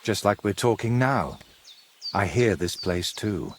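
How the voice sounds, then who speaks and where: A sardonic, secretive painter from a medieval game drama. His low voice moves expressively, wry and evasive, with faint outdoor ambience behind him. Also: lively intonation, unhurried, a little hiss or hum. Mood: troubled, guarded. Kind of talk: dramatic dialogue in a cinematic historical game.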